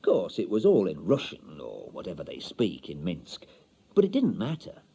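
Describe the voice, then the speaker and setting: An older Yorkshire bachelor telling his tale in a radio short story. His voice is husky and mellow, with hugely varied pitch. He is chatty, wistful and droll, confiding in plain colloquial speech. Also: huge pitch swings, normal speed, heavily acted. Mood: fond, amused.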